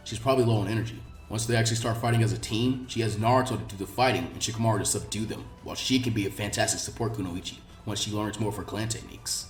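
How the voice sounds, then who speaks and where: Man acting a gruff, low ninja instructor. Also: very fast, few pauses, roomy sound, far from the mic, soft background music, lightly acted. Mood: appraising. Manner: blunt, deadpan. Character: laid-back adult male ninja instructor, gruff and exasperated.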